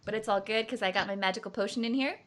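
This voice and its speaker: A woman's voice: mid-range voice, rapid-fire, fairly bright tone. A woman hosting a live online show, bubbly and exclaiming often, tired from little sleep. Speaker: host. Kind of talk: energetic live show chat. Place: home studio in San Diego.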